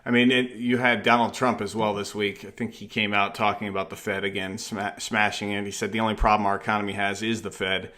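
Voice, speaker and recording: A man hosting a conversational podcast interview, speaking in a halting way with rambling lead-ins. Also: lowish voice, flat delivery, pushed and bright.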